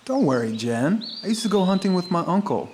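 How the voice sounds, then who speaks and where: An actor playing Billy, a cocky teenage jock who talks in a brash, bossy way. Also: male voice, high-pitched, very animated, fairly quick, darkish tone, a little hiss or hum.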